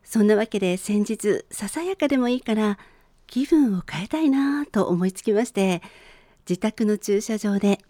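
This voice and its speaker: A middle-aged woman hosting a radio show, speaking politely in a gentle solo talk with personal stories. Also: mid-range voice, animated, normal speed, dry recording. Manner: polite, formal phrasing with personal anecdotes. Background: faint music.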